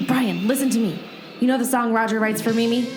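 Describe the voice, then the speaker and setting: A woman's voice: fairly high pitch, fairly even intonation, fairly quick, natural voice. A woman playing a bold, commanding game master heroine in an audio drama, firm and dramatic. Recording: roomy echo, music underneath.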